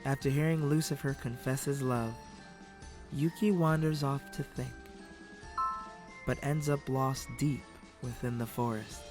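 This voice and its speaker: A man playing a solemn storyteller, giving measured, dramatic storybook narration for a fairy-tale stage play. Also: mid-range voice, a little mellow, lightly acted.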